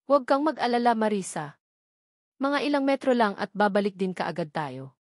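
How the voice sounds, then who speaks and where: A woman's voice: medium pitch, lively intonation, moderate pace, no echo, clean studio sound, close to an everyday voice. A woman with a Filipino accent voicing a headstrong, informal young lady of a wealthy house in an audiobook story. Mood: reassuring.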